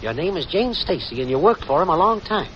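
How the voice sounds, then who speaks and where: An actor playing a cocksure, shiftless boyfriend with breezy, slangy smooth talk in a 1940s radio comedy. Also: male voice, on the high side, big pitch swings, brisk, fairly bright tone. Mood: bossy, prompting. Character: scheming, cocksure, shiftless boyfriend, a small-time wise guy. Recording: muffled, hissy 1940s radio recording.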